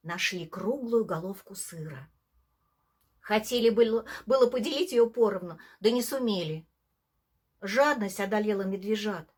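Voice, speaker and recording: Slow, highly expressive woman storyteller. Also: slightly rough. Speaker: storyteller, theatre actress. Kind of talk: expressive scripted bedtime story narration for children.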